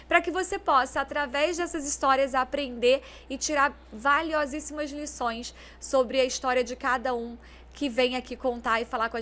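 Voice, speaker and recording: A woman hosts an interview podcast, asking her guest questions in an even, measured way. Her voice sits high. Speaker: podcast host.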